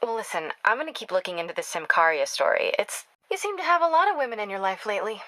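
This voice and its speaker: Young female reporter for a video game: bright, forward voice, close dry sound, earnest and wry, natural phone-call delivery. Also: mid-range voice, big pitch swings, quick pace, no echo. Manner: conversational, earnest, confiding.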